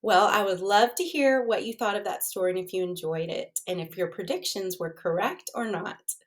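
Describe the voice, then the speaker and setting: A woman's voice: medium pitch, moderate pace. A woman reading a children's storybook aloud expressively, addressing her young listeners as she goes.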